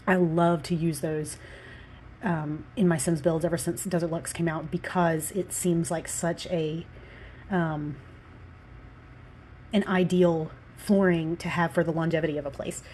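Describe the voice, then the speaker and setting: A woman's voice: deep voice, fairly even intonation, a little slow, a little mellow, a little hiss or hum. Stuffy-nosed woman chatting casually. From American South. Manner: rambling, with many fillers and restarts. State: stuffy, congested from allergies; slightly out of breath. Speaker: Sims builder and architect. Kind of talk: casual build commentary.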